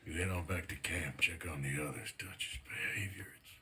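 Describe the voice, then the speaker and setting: A dying male outlaw from a Western game, very deep and forceful in timbre, yet weary in manner. He has a gruff American drawl and speaks in short, hesitant phrases. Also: normal speed. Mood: weary, subdued. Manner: terse, plain-spoken, hesitant. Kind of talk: cinematic video game acting.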